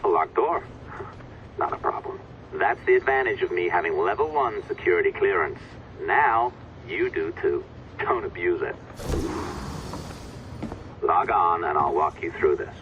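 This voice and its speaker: An actor playing a curt, sardonic office IT technician in a video game, giving dry instructions over a communicator. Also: male voice, low-pitched, a little slow, pauses often. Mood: dry, instructing. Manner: dry, impatient, deadpan sarcasm. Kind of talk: scripted game dialogue, instructions. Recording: muffled communicator audio with clear noise.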